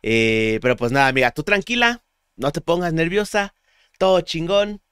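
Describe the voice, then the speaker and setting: A man with a Mexican Spanish accent hosting a podcast interview, relaxed and slangy, with many fillers. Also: fairly high pitch, normal speed, smooth, dry recording, studio quality. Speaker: podcast host. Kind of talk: casual interview chat.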